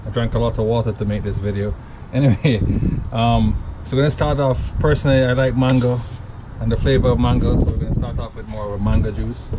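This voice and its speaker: A man with a Jamaican accent walks through a homemade recipe in a loose, rambling way, often stopping to correct himself. His voice sits at an ordinary middle pitch. A steady wash of background noise sits under the home recording. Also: fairly quick, hardly any pauses. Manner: rambling, self-correcting, easygoing. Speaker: home cocktail vlogger. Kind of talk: casual step-by-step recipe demo.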